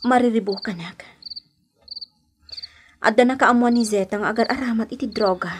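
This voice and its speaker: A woman's voice: fairly high pitch, moderate pace, noisy background, lightly acted. A woman playing a troubled, trusting young woman in an Ilocano radio drama, with an Ilocano accent, on an old recording. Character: troubled, trusting young woman worried about her boyfriend, Meli. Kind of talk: Philippine radio drama acting.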